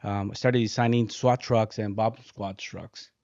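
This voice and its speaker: A low-voiced man from Mexico in his forties, speaking as an interview guest in a soft, hesitant way, filling pauses with uh and um. The audio is dry and slightly dull, close and without room sound. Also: fairly even intonation, dry recording. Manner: soft-spoken, hesitant, many fillers. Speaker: guest, head engineer. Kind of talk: casual interview talk.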